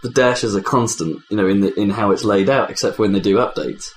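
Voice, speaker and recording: A man with a lowish voice talks as a podcast host in a loose, opinionated chat about games. He rambles informally. The room is echoey, with faint noise behind him. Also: fast. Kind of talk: casual gaming podcast discussion.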